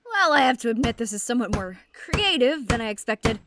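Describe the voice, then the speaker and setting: A woman's voice: on the high side, very animated, a little fast, heavy background noise. A woman voicing a cheeky, verbose, manic male rogue, theatrical and flippant, in a comic fan dub.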